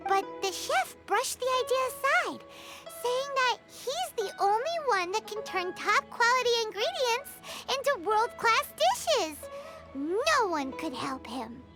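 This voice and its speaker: A woman voicing a tiny, bubbly, bossy childlike fairy girl in an anime game, squeaky and excitable. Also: unhurried, exaggerated voice. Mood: eager storytelling. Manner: excitable, exclamatory storytelling. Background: music.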